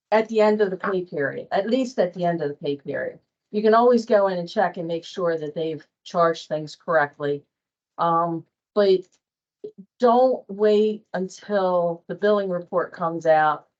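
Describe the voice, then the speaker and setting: A woman presenting an informal workplace training session, speaking in a plain-spoken, explanatory way. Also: fairly low pitch. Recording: dull, muffled lo-fi audio.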